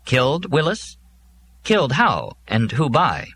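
A man's voice: mid-range voice, lively intonation, a little fast, sharp tone, slight background noise, voice pushed up. A man playing a stubborn, anxious working man in a science fiction audiobook, questioning and defiant. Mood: alarmed, defiant. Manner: questioning, argumentative.

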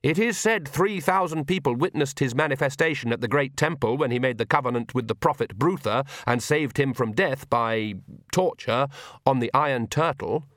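A man's voice: mid-range voice, a bit bright, no echo, studio quality, voice pushed up, close to an everyday voice. Man voicing an earnest, hesitant young priest. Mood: earnest, unsure. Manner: halting, formal.

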